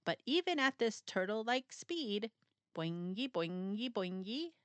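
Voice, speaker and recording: Female storybook narrator: lively, swooping intonation, dramatic pauses, playful emphasis, very dry close sound. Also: medium pitch, clean tone, no echo. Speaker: storyteller reading a children's book aloud. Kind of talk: expressive scripted picture-book narration.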